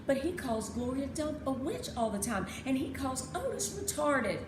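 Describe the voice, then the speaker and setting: A woman's voice: high voice, very animated, normal speed, pitched above the performer's own voice. A woman voicing an earnest, stubborn and curious young girl in a children's storybook. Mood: defensive, indignant. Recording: echoey room with clear background noise.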